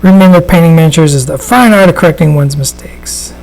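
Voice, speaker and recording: A man with a raspy voice, chatting casually and giving a live painting demonstration, with plenty of fillers and restarts. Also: a little high, a little fast, a little mellow, rough recording. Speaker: miniature painter and host. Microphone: studio microphone at a desk.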